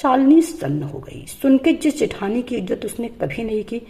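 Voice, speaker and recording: A woman's voice: fairly high pitch, big pitch swings, normal speed, warm tone. A woman narrating a scripted moral family story as a storyteller.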